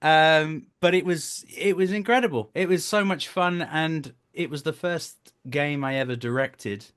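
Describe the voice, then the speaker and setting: A man's voice: on the high side, measured pace, clean tone. Chatty, self-deprecating man telling stories. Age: 30s. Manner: animated storytelling, self-deprecating, jokey. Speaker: interview guest, voice and performance director. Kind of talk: casual interview anecdotes.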